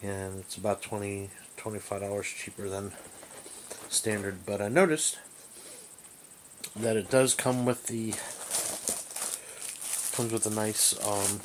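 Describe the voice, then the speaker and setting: A man with a fairly low voice talks casually through an unscripted hobbyist review. He rambles and hesitates, searching for his words. The recording is homemade, made in a small room with slight noise behind him. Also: steady tone, slow, pauses often. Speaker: amateur radio hobbyist reviewing gear. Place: small bedroom indoors.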